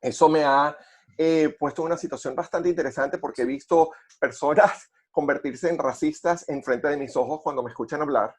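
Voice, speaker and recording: A man with a Venezuelan accent telling personal stories conversationally, haltingly repeating words as he searches for phrasing. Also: on the high side, slightly rough. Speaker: podcast co-host.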